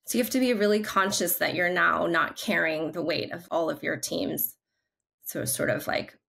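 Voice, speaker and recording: Bright-voiced woman on a video call. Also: lowish voice, steady tone, moderate pace, gravelly. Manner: chatty, upbeat, many "like" fillers. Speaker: guest, creative brand leader. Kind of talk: casual video-call interview. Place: home living room. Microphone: video call, laptop camera.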